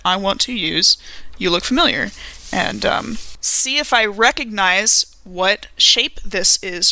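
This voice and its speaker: A woman's voice: medium pitch, pushed and bright, poor audio. A woman chatting casually as a player in a tabletop roleplaying game.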